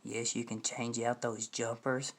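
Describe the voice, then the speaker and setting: A man's voice: mid-range voice, flat delivery, a little slow, fairly bright tone. An American man giving a casual, folksy product review to viewers, repeating points for emphasis. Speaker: home audio equipment reviewer. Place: indoor room. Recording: echoey room, distant microphone.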